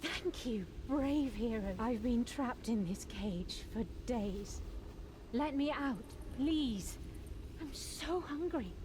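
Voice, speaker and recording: A woman playing a gentle, grateful young nun rescued from captivity in a fantasy video game, speaking with earnest politeness. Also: a little high, animated, slow pace, background noise. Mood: pleading, weak. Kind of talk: scripted fantasy game acting.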